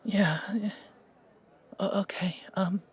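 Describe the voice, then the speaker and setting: Man playing a stammering, shaken young man, higher-pitched. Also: fairly even intonation, heavily acted. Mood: dazed, overwhelmed. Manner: stammering, halting, breathy. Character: shocked, grieving young man, hesitant and loyal. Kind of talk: audio roleplay acting.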